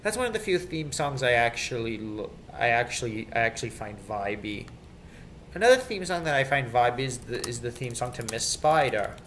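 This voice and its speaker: A man's voice: medium pitch, very animated, a little fast, a bit bright. A man rambling casually and opinionatedly in an off-the-cuff video rant, often repeating himself. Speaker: video creator. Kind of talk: casual off-the-cuff rant about children's TV theme songs. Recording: some background noise, amateur home recording.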